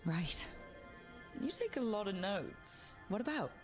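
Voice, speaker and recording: An actress playing an earnest, steady young woman who leads a band of adventurers, in a measured manner for a video game cutscene. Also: medium pitch, soft background music. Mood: concerned, steady.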